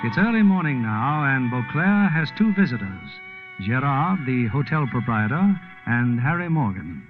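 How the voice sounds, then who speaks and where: A man's voice: medium pitch, very animated, measured pace. A man giving scripted dramatic narration as host of a 1940s radio play. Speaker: show producer and narrator. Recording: tinny 1940s radio recording. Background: music.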